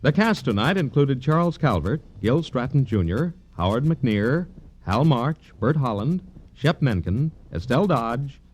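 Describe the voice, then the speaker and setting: A man's voice: medium pitch, very animated, a little slow, a little mellow. A man announcing in polished, formal 1950s radio style, reading credits and promotions as a network announcer.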